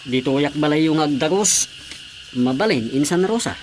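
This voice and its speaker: A man's voice: on the high side, lively intonation, normal speed, loud music behind the voice, close to an everyday voice. A man acting as a cheeky, eager, teasing visiting cousin in a comedy radio drama.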